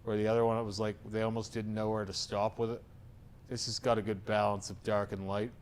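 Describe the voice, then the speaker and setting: A man's voice: lowish voice, level tone, a little fast, slight background noise. A man with a soothing voice hosting a tattoo reaction show, giving frank, opinionated critiques with casual swearing.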